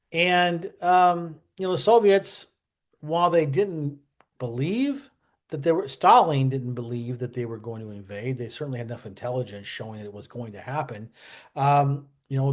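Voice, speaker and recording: Male history vlogger, mid-pitched, conversational and opinionated, colloquial phrasing with plenty of digressions. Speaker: history vlog host. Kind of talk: casual, conversational history commentary.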